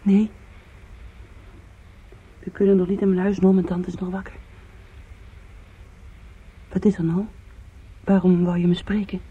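Very breathy, tender girlfriend. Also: mid-range voice, level tone, unhurried, pauses often, mellow. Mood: anxious. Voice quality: breathy, husky. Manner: soothing, concerned. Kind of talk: Dutch radio drama acting. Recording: thin, narrow-band old radio recording.

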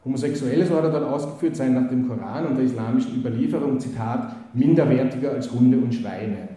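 A man's voice: medium pitch, quick pace, mellow. A man giving a spoken lecture, hesitant with many fillers and restarts. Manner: hesitant, full of "äh" and restarts, reads quotes aloud. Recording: very echoey hall.

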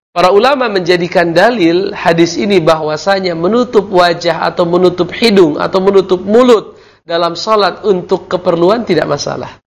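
A man with a gravelly edge and a slightly raised pitch teaches Islamic law on a televised call-in program. He explains in a measured way, weaving in Arabic terms and correcting himself as he goes. His delivery is steady and instructive. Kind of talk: televised religious lesson with call-in questions.

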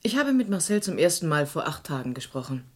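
An actress playing a self-possessed amateur sleuth in a German radio crime drama, direct and composed in manner. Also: female voice, low voice, sing-song, quick pace, breathy edge, exaggerated voice. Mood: composed, defiant.

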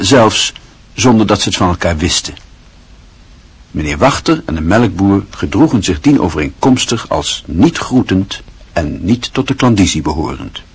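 A man narrating a radio play in a measured, even storytelling manner. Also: on the low side, breathy edge, dry recording.

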